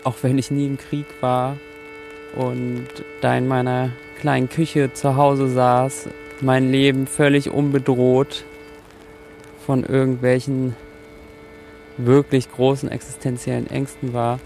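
A man's voice: mid-range voice, little pitch movement, takes it slowly, vocal fry, a little mellow, soft background music. A man speaking in an earnest, reflective and literary way. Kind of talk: reflective account and solemn literary reading.

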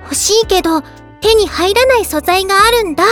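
A woman playing a sweet, bubbly young newlywed mermaid, telling her own story in an expressive Japanese audio drama style. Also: very high voice, brisk. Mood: lovestruck, cheerful. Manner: cutesy, expressive first-person narration with exclamations. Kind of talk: Japanese audio drama monologue, game tie-in. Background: music.

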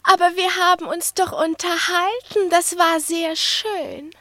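A woman's voice: very high voice, fairly even intonation, unhurried, pushed and bright, dry recording, full character voice. An actress playing a naive, curious young female lapdog in a radio play, girlish and eager. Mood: innocent, puzzled. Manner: girlish, wide-eyed, breathlessly eager.

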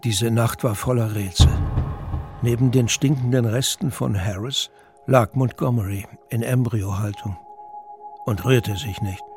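A man's voice: medium pitch, fairly even intonation, a little slow, darkish tone. A man narrating a scripted audio drama. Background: music.

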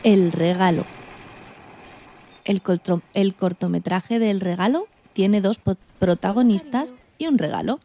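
Woman w reading out a radio script, soft dark tone, medium pitch. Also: a little slow. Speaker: radio co-host. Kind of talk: scripted narration read aloud.

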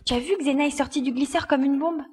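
A woman's voice: high voice, fairly even intonation, fast, a little mellow, slight background noise, lightly acted. A woman playing a determined, commanding glider pilot in a French audio drama. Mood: urgent. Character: determined female glider pilot searching for her babies.

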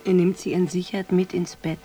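A woman acting in a German radio drama as a discreet, unsentimental call girl, speaking in a deadpan, breathy half-whisper. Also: on the low side, fairly quick, soft background music, lightly acted. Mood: detached, confiding. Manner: deadpan, laconic.

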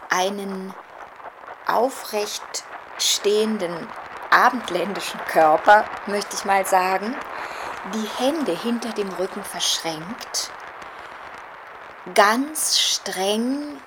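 A woman's voice: medium pitch, a bit bright, a little hiss or hum, poor audio. An older woman giving reflective scholarly interview commentary, hesitantly searching for precise words. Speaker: writer and commentator interviewed on Adorno.

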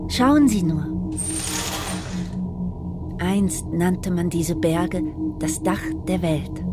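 An eager, deferential airship stewardess speaks politely and a little flustered in a radio play. Her slightly low voice swings widely in pitch over loud music. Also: lively intonation, drawn-out words. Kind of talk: German radio play dialogue.

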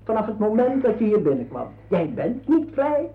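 A fussy, fawning sidekick with a high voice, in an old radio play. He gushes and interjects with sly eagerness, and the recording is dull. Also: big pitch swings, normal speed. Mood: smug, sly. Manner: gushing, over-polite, interjecting.

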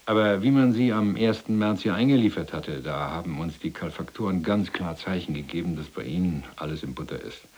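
An actor playing a folksy, talkative German war criminal in a prison cell, speaking colloquially and hesitantly in a radio drama. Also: male voice, sits low, a little fast, hardly any pauses, darkish tone. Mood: hesitant, probing. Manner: colloquial, hesitant, roundabout, chummy.

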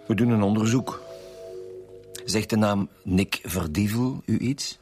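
Actor as brusque Flemish police commissioner, lowish voice. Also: male voice, unhurried, darkish tone, soft background music, natural voice. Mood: probing, measured. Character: brusque male police commissioner questioning suspects and witnesses. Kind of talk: naturalistic radio drama acting.

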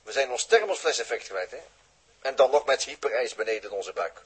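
A man acting a down-to-earth spaceship repairman in a 1960s radio drama, chatty and wry, with a husky voice. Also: medium pitch, expressive, fairly quick. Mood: wry, practical. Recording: husky old radio recording.

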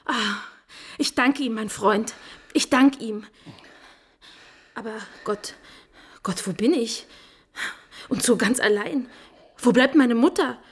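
Eine Schauspielerin spielt in einem Hörspiel der 1950er ein junges, verängstigtes Edelfräulein auf der Flucht, stockend und flehend. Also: female voice, on the high side, breathy edge, exaggerated voice. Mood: frightened. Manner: anxious, halting, pleading. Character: frightened, breathless young noblewoman in flight. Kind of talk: 1950s German radio drama acting.

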